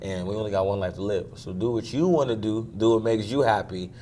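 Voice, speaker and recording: A young man from Maryland, a singer, talking casually in an interview, relaxed and quick to laugh. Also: on the low side, slight background noise. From Maryland, USA. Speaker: guest singer.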